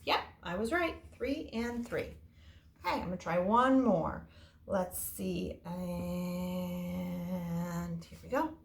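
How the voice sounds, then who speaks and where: A woman's voice: on the low side, takes it slowly. Woman teaching children, echoey room. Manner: simple, child-directed explaining with casual wording. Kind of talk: informal children's lesson and story time. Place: indoor room. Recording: echoey room, faint noise.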